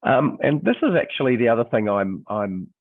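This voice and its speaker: A middle-aged New Zealand man with a croaky voice from illness, a history teacher giving a webinar presentation in a hesitant way, with many ums and restarts. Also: mid-range voice, very animated, normal speed, no room sound. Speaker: history teacher and textbook author. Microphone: video call. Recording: dry, phone-like video call audio.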